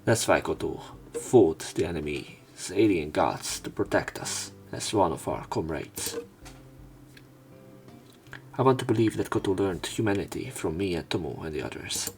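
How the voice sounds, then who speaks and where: A man reading visual novel text aloud as a let's play narrator, in measured short phrases. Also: deep voice, animated, gravelly. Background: game music.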